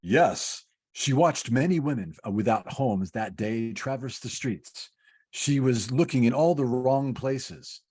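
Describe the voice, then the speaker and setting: A man playing a calm, patient senior angel who explains things in the scripted style of an old-time radio play. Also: medium pitch, unhurried, husky. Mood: reassuring. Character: senior male angel Joseph, calm, patient, instructive overseer.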